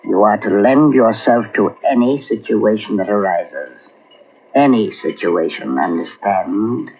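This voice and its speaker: An actor playing the calm, solemn ghost of a man who died a year ago, speaking in a slow, measured way, in 1940s radio drama style. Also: on the low side. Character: ghostly dead man walking between worlds, calm, solemn. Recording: tinny old radio transcription with hiss.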